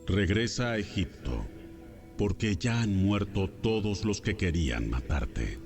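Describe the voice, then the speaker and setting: A man's voice: sits low, very animated, a little slow, a little mellow, faint music behind, full character voice. A man playing God as a stern, authoritative deity in a solemn, commanding dramatized Bible audio.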